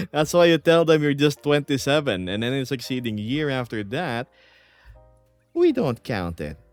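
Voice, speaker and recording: A man's voice: mid-range voice, very animated, a little slow, long pauses, no room sound, soft background music. A man in his thirties streaming from home, chatting casually and reading chat messages aloud with frequent laughs.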